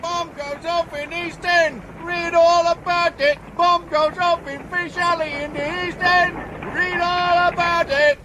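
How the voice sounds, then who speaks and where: An actress playing a street newspaper seller in a radio drama, crying headlines in long drawn-out shouts. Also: female voice, very high voice, flat delivery, heavily acted, poor audio. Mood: loud hawking.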